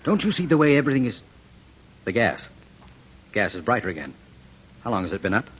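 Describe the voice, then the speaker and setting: Probing detective, low pitch, lively intonation, urgent, protective, 1940s radio acting, muffled noisy recording. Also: male voice, brisk. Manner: urgent, questioning, reassuring.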